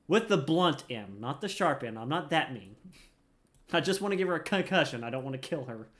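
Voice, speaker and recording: Lively, bright-voiced man playing a game. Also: fairly high pitch, a little fast. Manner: playful, jokey. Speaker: gamer narrating own play. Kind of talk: casual gameplay commentary with joking asides.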